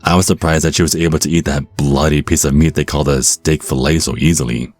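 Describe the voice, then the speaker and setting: A man's voice: extremely low pitch, fairly even intonation, a little fast, darkish tone, faint music behind. An actor with an American accent playing an awkward young man on a date in an audio roleplay, apologetic and prone to stammering. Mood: reflective narrating. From United States. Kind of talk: audio roleplay acting and first-person narration.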